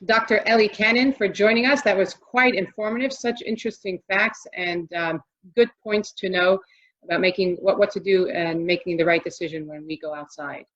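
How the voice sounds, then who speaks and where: A woman's voice: medium pitch, normal speed, a bit bright. A woman hosting a casual interview, encouraging and enthusiastic, with chatty introductions and questions. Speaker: host. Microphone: video call. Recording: dull lo-fi video call audio.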